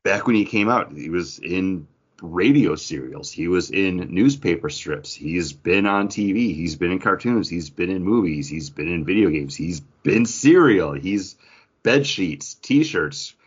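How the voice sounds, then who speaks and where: A man's voice: sits low, lively intonation, unhurried, slightly rough, lo-fi sound. A man co-hosting a podcast, talking casually and explaining his views in a conversational way. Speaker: podcast co-host. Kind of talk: casual group discussion about comics.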